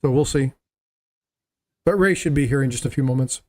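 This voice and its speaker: A man's voice: medium pitch, a little fast, darkish tone. A man hosting a casual livestream chat, rambling and self-deprecating as he talks. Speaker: livestream host.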